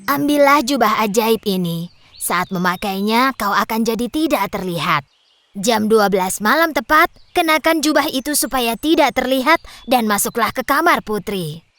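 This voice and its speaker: A woman doing a ragged, wise old grandmother in a children's cartoon, with a high, sing-song voice that rises and falls. Her voice sounds dry and close to the mic. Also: lively intonation, normal speed, fairly bright tone, no room sound. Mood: advising. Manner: pleading then advising, knowing. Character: poor old grandmother, kindly but cunning. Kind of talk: storybook cartoon voice acting.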